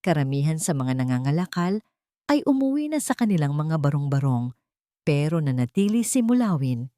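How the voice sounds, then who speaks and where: A woman narrating a scripted audio drama in a steady, dramatic storytelling manner. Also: deep voice, dry recording, clean studio sound.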